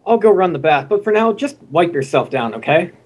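A man's voice: a little high, big pitch swings, brisk. A man playing a scolding but caring young male roommate in an amateur script reading. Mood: flustered scolding. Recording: noisy mic with clicks.

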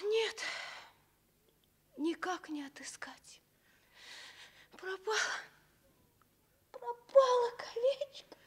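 A woman's voice: very high voice, big pitch swings, very slow, pauses often, exaggerated voice. A woman voicing an earnest, emotional little village girl in a Soviet radio story, exclaiming in a childlike way. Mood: upset, self-scolding.

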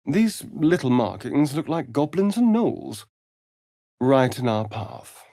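A man's voice: mid-range voice, sing-song, takes it slowly, warm tone, no room sound. Sardonic ancient vampire, arch and theatrical, video game style, takes his time, close dry sound. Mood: wry. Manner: arch, drawling, flamboyant delivery.

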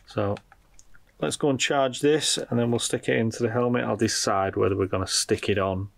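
A man's voice: mid-range voice, steady tone, normal speed, creaky. A man giving casual unboxing commentary as a product reviewer, informally thinking aloud as he goes.

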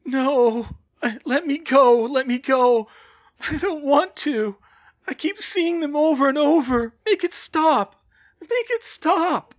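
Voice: sits high, lively intonation, slow pace, voice pushed up. A performer voicing a delirious young man who pleads in childlike terror with ragged, sobbing cries. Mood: desperate pleading. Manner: babbling, begging, repeating pleas.

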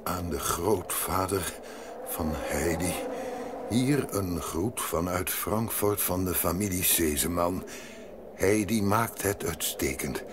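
A man playing a trembling, heartsick old mountain grandfather in an audio drama, speaking haltingly. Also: deep voice, noisy background. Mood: hesitant, anxious. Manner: slow, halting.

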